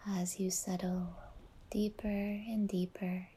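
A woman guiding a relaxation meditation in a soft, whispery, soothing voice, unhurried and leaving long pauses between phrases. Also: mid-range voice, level tone. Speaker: yoga nidra guide and podcast host.

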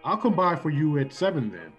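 An actor playing a forward, blunt suitor in a classic radio play, theatrical and sometimes stammering. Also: male voice, on the high side, sing-song, a little fast, dull audio. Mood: courting. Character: brusque, forward male suitor courting a young woman. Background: music behind the voice.

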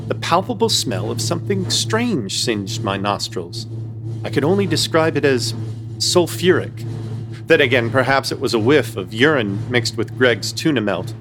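A young man giving wry, measured first-person narration in a horror comedy radio play. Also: medium pitch, noisy background. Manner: wry, measured storytelling with nervous asides.

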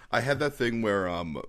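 A man's voice: medium pitch, fairly bright tone, dry recording, clean studio sound. A man co-hosting a podcast, rambling through self-mocking stories with many restarts. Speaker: podcast co-host. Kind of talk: casual podcast banter. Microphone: studio podcast mic.

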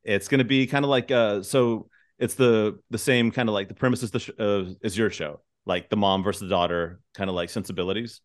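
A man's voice: on the low side, fairly even intonation, fairly quick. A man hosting a podcast in a jokey, informal, conversational way. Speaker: podcast host. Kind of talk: casual comic chat.

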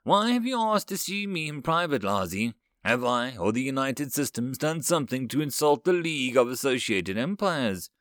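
Guy doing a calm thirty-something human diplomat in a space story, measured but lots of up and down, dry sound. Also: medium pitch, no room sound. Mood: puzzled. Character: calm, collected human male chancellor of thirty-two.

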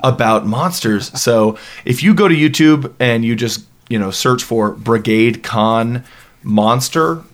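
A man's voice: medium pitch, slow pace. A man in his late twenties hosting a gaming podcast, chatting casually with lots of "you know" and laughter. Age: 20s. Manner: animated, laughs often, many fillers. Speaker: podcast host. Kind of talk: casual chat.

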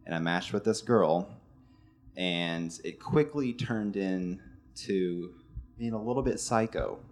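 Male co-host, very low voice, loose rambling storyteller. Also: takes it slowly, darkish tone. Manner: rambling, laid-back storytelling. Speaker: podcast co-host. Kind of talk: casual storytelling chat.

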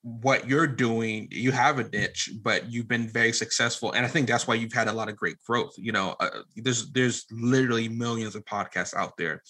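A man in casual podcast conversation, talking in a halting, rambling way and often restarting his phrases. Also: mid-range voice, sharp tone. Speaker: podcast guest and media producer. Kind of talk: casual conversational interview talk.

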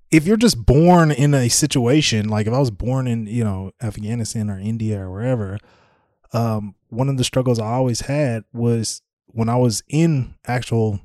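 A man's voice: medium pitch, warm tone, dry recording, clean studio sound. A man with an American accent, chatting casually as a podcast co-host and tentatively working toward his point. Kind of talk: casual conversation.

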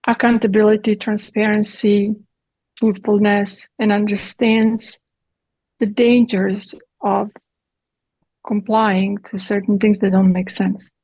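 A woman's voice: mid-range voice, little pitch movement, slow, a little mellow, husky, no room sound, low-quality recording. A middle-aged woman, a physician giving direct, explanatory answers as an interview guest, with occasional slips in her grammar. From Croatia.

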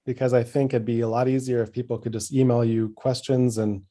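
A man co-hosting a podcast in casual chat, calm and supportive, mostly giving short replies. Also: mid-range voice, brisk. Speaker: podcast co-host and creative partner.

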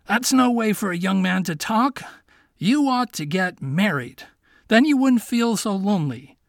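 A crafty male fox schemes and coaxes, as a man puts him on for a storybook reading. His voice sits a little high and swoops with lively movement. The sound is close and dry. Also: unhurried, vocal fry, dry recording, heavily acted. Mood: persuasive. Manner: coaxing, confiding. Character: clever scheming male fox, smooth talker.

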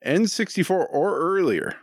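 A man's voice: high-pitched, expressive, unhurried, no room sound, clean studio sound. A man co-hosting a podcast with casual, joking banter and an irreverent, profane way of talking. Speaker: podcast co-host reading listener questions.

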